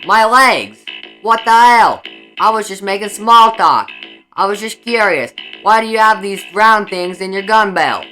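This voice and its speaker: A woman voicing a brash, curious, excitable young male rider in an anime fan dub. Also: medium pitch, a little slow, faint music behind, low-quality recording. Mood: panicked, indignant.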